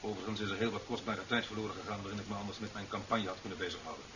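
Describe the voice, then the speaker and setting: An actor playing a stern, impatient president in a 1970s radio drama, speaking curtly and formally. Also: male voice, lowish voice, steady tone, racing, natural voice. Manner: curt, formal, reproachful. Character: stern, impatient male president, cutting and authoritative. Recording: dull, hissy 1970s radio recording.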